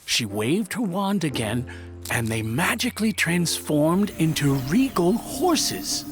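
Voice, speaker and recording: A man narrating a scripted children's fairy tale in an expressive, dramatic storytelling manner. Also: a little high, slow. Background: music.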